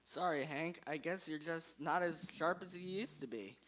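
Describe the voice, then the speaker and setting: Man acting a high-voiced, smug cop. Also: moderate pace, fairly bright tone, lightly acted. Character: smug male police officer needling a rival detective. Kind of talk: amateur radio drama acting.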